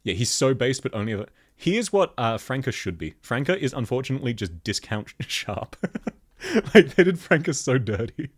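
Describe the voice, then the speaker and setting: A man with a thick Australian accent, chatting casually as he reviews game outfits and laughs often. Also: normal speed. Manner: chatty, laughs often, lively pitch jumps. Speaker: gaming video host. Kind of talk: casual commentary.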